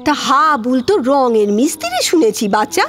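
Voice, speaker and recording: A woman playing an elderly, sharp-tongued but affectionate widowed village aunt in a Bengali audio drama, with a rural West Bengal accent and a scolding, exclaiming delivery. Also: sits high, big pitch swings, moderate pace. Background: background music.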